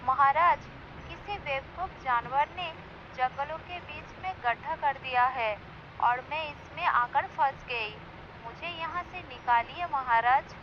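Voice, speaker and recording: A woman playing a cunning, conceited female fox with a theatrical delivery in an animated moral story. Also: high-pitched, unhurried. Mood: frightened, pleading. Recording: dull lo-fi sound with clear noise.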